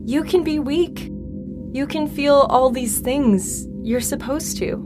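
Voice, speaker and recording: A young woman acting a supportive, frank best friend in a naturalistic audio drama, wry and gentle. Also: high-pitched, takes it slowly, music in the background. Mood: earnest, consoling.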